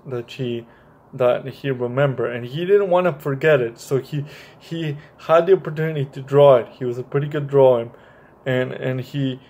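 A man's voice: mid-range voice, a little mellow. A young man reading a picture book aloud for children and chatting about it, often stumbling and repeating his words. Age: young adult. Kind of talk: read-aloud story time for children, with informal commentary. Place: small bright room indoors.

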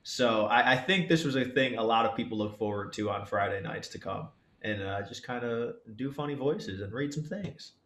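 A man's voice: mid-range voice, normal speed, few pauses, fairly bright tone, reverberant. A young man chatting casually as a panelist on a group video call. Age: young adult. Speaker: cast member in a Q&A. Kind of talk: casual chat. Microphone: video call microphone.

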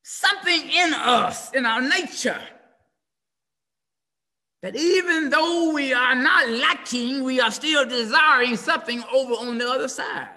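A middle-aged man preaching an impassioned sermon from home over a video call, swinging from shouts down to hushed near-whispers in a repetitive cadence. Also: very high-pitched, a little slow. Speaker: pastor. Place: home room with window blinds. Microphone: video call webcam. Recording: video call audio with long reverb.

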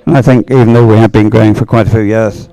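A man giving unhurried, chatty live race commentary in an encouraging manner. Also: lowish voice, little pitch movement, mellow, poor audio. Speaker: sports commentator.

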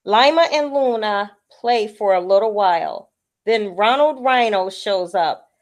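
A woman reading a children's picture book aloud as a storyteller, with expressive delivery. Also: medium pitch, a little slow.

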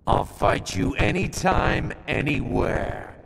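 Gravelly cocky swordsman. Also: fairly high pitch, sing-song, slow pace, noisy background, heavily acted. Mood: calm, wry. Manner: laid-back, wisecracking, profane. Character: cocky, casual male assassin swordsman. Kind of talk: video game voice acting. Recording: long reverb, music behind.

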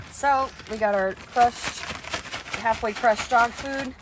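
A young woman vlogging at a campsite, talking in a chatty, excitable way with plenty of fillers. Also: a little high, slow pace, background noise. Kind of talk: casual camping vlog. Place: outdoor campsite beside a camper trailer. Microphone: camera on a tripod a few feet away.

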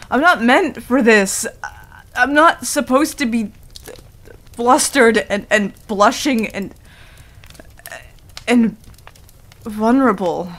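Casting: a woman as a proud, defensive werewolf girl, highish voice, slow and stammering, for ASMR roleplay. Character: proud, flustered young female tsundere werewolf.

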